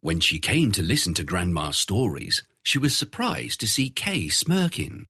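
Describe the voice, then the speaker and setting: A man narrating a fairy tale from a script in an expressive storytelling manner. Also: low-pitched, unhurried, breathy edge.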